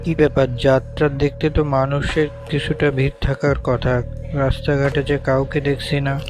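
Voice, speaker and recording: A man's voice: mid-range voice, little pitch movement, moderate pace, music in the background, natural voice. A man voicing village friends out at night in a cartoon story, in plain, familiar, earnest dialogue. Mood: uneasy. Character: eager male village friends planning a night outing.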